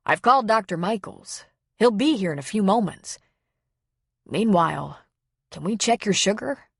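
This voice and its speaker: A gentle male pastor, voiced by a woman narrator in an audiobook novel. He sounds calm and concerned, asking and reassuring in a measured, soothing way. The recording is close and dry. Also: fairly low pitch, vocal fry, breathy edge, dry recording, exaggerated voice.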